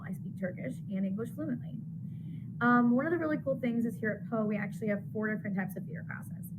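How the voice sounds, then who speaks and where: A woman theater teacher sounds bubbly and enthusiastic as she presents her classes. Clear background noise runs behind her voice. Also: medium pitch. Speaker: middle school theater teacher. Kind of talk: upbeat recorded class introduction.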